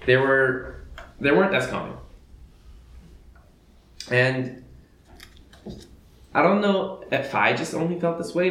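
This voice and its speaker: A man's voice: medium pitch, a bit bright. Modest man speaking in an echoey hall. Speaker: church member sharing testimony. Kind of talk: personal testimony. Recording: roomy hall with faint background noise.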